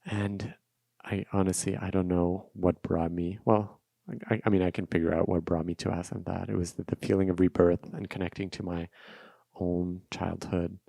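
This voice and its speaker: A man with a low voice and a flat, even delivery, a native Russian speaker. He hosts a solo podcast, talking reflectively and off the cuff about personal experience, often repeating a phrase for emphasis. The sound is dry, with no room around it. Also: normal speed, gravelly, dry recording. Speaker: podcast host. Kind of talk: unscripted solo podcast monologue, personal storytelling.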